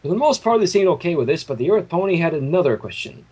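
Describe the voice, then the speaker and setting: A man narrates a fan-written story in a fairly high, slightly husky voice. His pitch moves a lot, giving the reading an animated, informal storyteller feel. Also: quick pace. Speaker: fan fiction reader.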